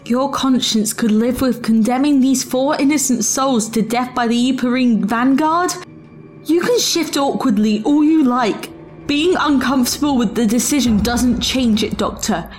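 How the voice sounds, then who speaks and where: A woman's voice: high voice, moderate pace, soft background music, close to an everyday voice. A woman acting a principled, disillusioned female travelling companion in an audio drama. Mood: indignant, reproachful.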